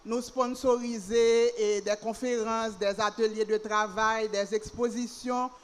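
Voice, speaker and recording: A woman's voice: a little high, level tone, a little slow. A woman cultural officer introducing herself and steadily listing the programs she supports. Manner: explanatory, lists items steadily. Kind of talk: introducing herself to a panel audience.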